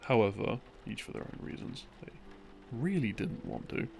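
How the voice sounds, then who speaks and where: A man telling lore as a soft, rambling sleep-aid story, breathy and often sinking into a murmur, in halting short phrases. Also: lowish voice, lively intonation, a little hiss or hum. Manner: halting, broken into short phrases with long pauses. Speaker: audio roleplay narrator, playing a boyfriend explaining game lore. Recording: music behind the voice. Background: soft background music.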